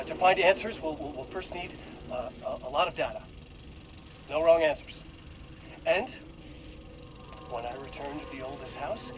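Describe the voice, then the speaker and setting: An actor playing an eager, awkward senior scientist addressing staff in a video game, stammering and rambling earnestly. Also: male voice, on the high side, noisy background, natural voice.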